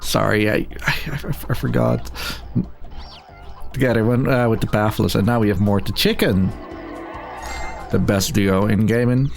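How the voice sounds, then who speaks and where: A man's voice: fairly low pitch, a little slow, gravelly, pauses often, soft background music. A man giving casual, tongue-in-cheek live game commentary as a speedrunner at a marathon.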